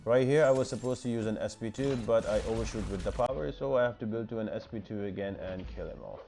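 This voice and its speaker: Mellow man talking through a game guide. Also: fairly low pitch, fast talker, slight background noise. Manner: instructional, step-by-step explaining. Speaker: gaming guide video host. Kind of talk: informal walkthrough commentary over gameplay.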